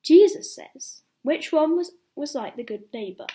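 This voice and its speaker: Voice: sits high, expressive, unhurried, dark tone, a little hiss or hum. A young reader reading a children's bedtime story aloud, expressively, with explanatory asides to little listeners.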